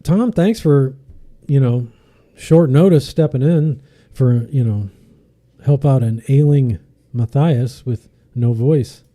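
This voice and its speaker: A man's voice: mid-range voice, slow pace, mellow. A man chatting casually as the host of a podcast. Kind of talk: casual chat.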